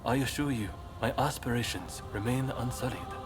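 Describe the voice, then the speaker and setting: A man acts out an earnest samurai reformer in a video game cutscene. His medium-pitched voice is courteous and eloquent, carrying a formal dignity over clearly audible music. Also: normal speed, close to an everyday voice.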